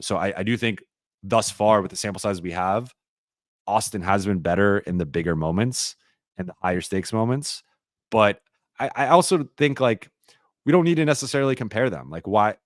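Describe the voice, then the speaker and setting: A man hosting a live-stream Q&A, talking casually and rambling with lots of fillers and restarts, his voice a little raspy. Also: sits low, normal speed, no room sound, clean studio sound. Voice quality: slightly raspy voice.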